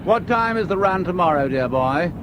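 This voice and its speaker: A man's voice: high-pitched, expressive, moderate pace. An actor playing a genial, affable oil man who calls people "dear boy", in a radio play. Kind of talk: 1970s radio drama acting. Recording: outdoor scene with loud traffic noise.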